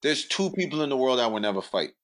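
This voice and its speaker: A man's voice: medium pitch, very animated, quick pace. A young man, telling stories bluntly and building up jokes on a podcast. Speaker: podcast co-host. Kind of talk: casual storytelling chat.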